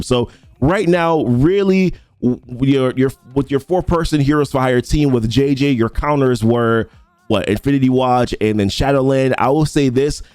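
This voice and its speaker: A man's voice: medium pitch, darkish tone, no room sound. Man breaking down game patch notes. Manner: rambling, many restarts and fillers, reads ability text aloud. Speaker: mobile game content creator. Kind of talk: casual game commentary reading patch notes.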